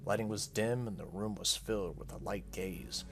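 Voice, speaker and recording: Horror visual novel teen girl read by a man, low register, earnest and unsettled, conversational delivery. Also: moderate pace, creaky. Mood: uneasy, earnest. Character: frank, uneasy teenage girl telling a story.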